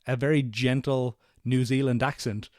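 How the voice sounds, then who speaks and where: Man, casual podcast co-host, middle-pitched voice, dry close sound, animated when quoting. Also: normal speed, dry recording. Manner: chatty, quotes lines in animated voices. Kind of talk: casual movie recap chat.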